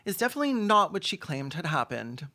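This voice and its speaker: Commentator for a film takedown video: mid-pitched voice, sarcastic, campy, quick with joking asides. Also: very animated, pushed and bright, a little hiss or hum.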